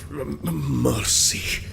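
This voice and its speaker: An actor playing a sinister, monstrous male villain in an audio drama, with gravelly, formal, drawn-out speech. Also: mid-range voice, fairly bright tone, a little hiss or hum, exaggerated voice. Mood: pleading.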